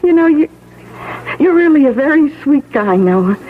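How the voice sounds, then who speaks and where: An actress playing a crook's stubborn, frank wife in a classic radio drama, informal, expressive and doubting. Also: female voice, sits high, moderate pace. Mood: wavering, tender. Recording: tinny, noisy old radio recording.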